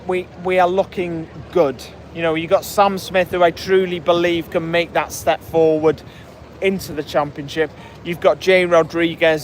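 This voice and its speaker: Hoarse, high-voiced man rambling about football. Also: a little hiss or hum. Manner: rambling, many fillers and restarts. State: hoarse, voice cracking. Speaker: podcast host. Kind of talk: casual post-match fan chat reading comments.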